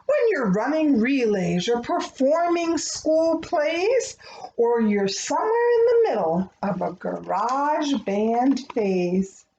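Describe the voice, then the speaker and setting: A woman with a fairly high voice speaks to young children in a tender, earnest read-aloud style. She takes her time over each phrase. The sound comes from a roomy, echoing space. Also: very animated, takes it slowly, mellow. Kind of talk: expressive read-aloud of a rhyming picture book, then gentle talk to children. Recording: dull, echoey room with faint noise.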